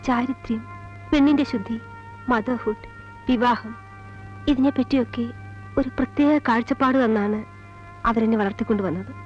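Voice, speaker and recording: An actress playing a sorrowful mother and former college teacher, telling her past gravely in halting, measured phrases in a film drama. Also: fairly high pitch, close to an everyday voice. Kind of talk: Malayalam film drama, slow confessional narration. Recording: dull old film soundtrack, music behind. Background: film score music.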